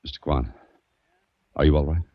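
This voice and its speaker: Very deep, husky radio hero. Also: male voice, normal speed, dry recording. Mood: concerned. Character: calm, world-weary male adventurer hero. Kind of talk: 1940s radio drama acting. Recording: muffled old radio recording.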